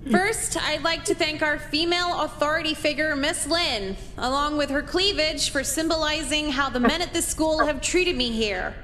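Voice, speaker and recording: A defiant, sardonic teenage girl in a visual novel. Her voice is high and sharp-edged, recorded with faint background noise. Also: moderate pace, few pauses.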